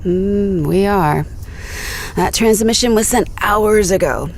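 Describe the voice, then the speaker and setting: A woman's voice: mid-range voice, slow, a little mellow, a little hiss or hum. A woman playing a weary, wry space explorer in a science fiction audio drama, speaking tersely.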